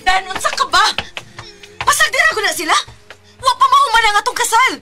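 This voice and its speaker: Shrill, desperate, melodramatic bride. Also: female voice, lively intonation, fairly quick, loud music behind the voice. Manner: melodramatic, pleading. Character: desperate bride hiding that she has a child. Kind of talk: radio drama acting.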